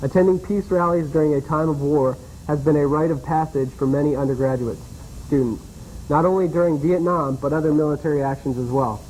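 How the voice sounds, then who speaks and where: A man co-anchoring a student television newscast, reading stories at a steady pace. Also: a little high, a little fast, a little hiss or hum, low-quality recording. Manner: steady newsreading. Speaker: news anchor. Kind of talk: read news bulletin.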